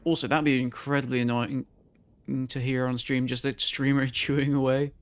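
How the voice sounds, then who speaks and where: A male streamer with a croaky voice, chatting casually to his viewers as he thinks aloud and plans his moves in a strategy game. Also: medium pitch, little pitch movement, moderate pace. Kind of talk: casual gameplay commentary to chat.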